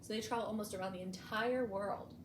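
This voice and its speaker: An echoey room with clear background noise surrounds a woman hosting storytime. She has a medium-pitched voice and reads expressively for young listeners, leaning on key words. She moves between reading and simple explanations. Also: steady tone, fairly quick. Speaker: librarian hosting a bedtime storytime. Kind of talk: picture-book read-aloud with explanatory asides for children.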